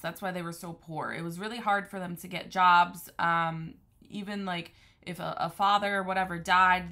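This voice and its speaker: Earnest woman, low and bright. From New Haven, Connecticut area, USA. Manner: earnest, discursive, restarts often. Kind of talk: unscripted religious monologue.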